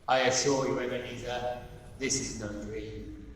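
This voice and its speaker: A man playing the solemn ghost of a dead business partner in a radio play, speaking gravely and deliberately with portentous weight. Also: medium pitch, level tone, lightly acted. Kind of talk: amateur radio play acting. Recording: very echoey, distant, faint noise.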